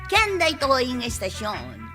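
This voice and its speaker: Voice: high voice, wildly swooping pitch, unhurried. An announcer reading radio drama credits in a dramatic style. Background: music.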